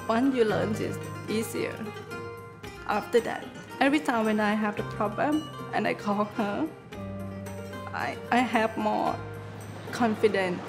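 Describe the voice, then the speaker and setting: A woman in her thirties from northeastern Thailand, a restaurant owner and chef speaking simple broken English with a Thai accent in a documentary interview, soft and earnest. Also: medium pitch, unhurried, pauses often. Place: indoor restaurant dining room. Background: background music.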